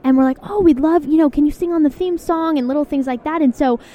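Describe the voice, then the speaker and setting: A woman's voice: high-pitched, quick pace, highs cut off. A young woman chatting as an interview guest, bubbly and full of fillers, recorded on location into a handheld recorder. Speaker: guest, singer and voice actor. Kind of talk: casual interview chat. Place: on location, indoors. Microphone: studio mic into handheld recorder.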